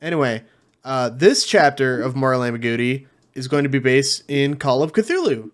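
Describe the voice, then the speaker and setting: A man's voice: medium pitch, expressive, normal speed. A man getting over a cold, hosting a tabletop game podcast with a casual, joking manner. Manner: joking, laughs easily. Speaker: podcast host and player. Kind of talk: casual chat.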